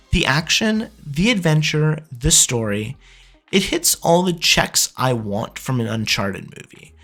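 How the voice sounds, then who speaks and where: A man's voice: medium pitch, gravelly, fairly bright tone, husky. A man giving opinionated, emphatic review commentary as a video host.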